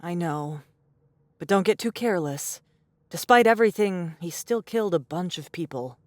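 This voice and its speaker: A woman's voice: on the low side, animated, normal speed, darkish tone, no echo, natural voice. A woman voicing a terse, knowledgeable, cautious young woman in an audiobook drama, matter-of-fact and clipped.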